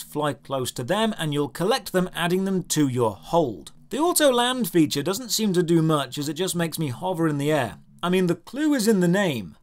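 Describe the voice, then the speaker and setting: A man narrates a scripted game review in a fairly high voice. His intonation swings widely while he delivers dry, sardonic commentary with a straight-faced edge. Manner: sardonic, deadpan.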